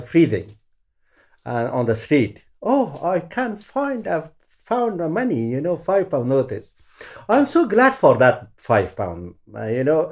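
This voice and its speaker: A middle-aged man with an Afghan accent, a native Pashto speaker, answering interview questions in halting English with many pauses and fillers. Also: mid-range voice, darkish tone. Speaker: interviewee, former journalist. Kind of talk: oral history interview.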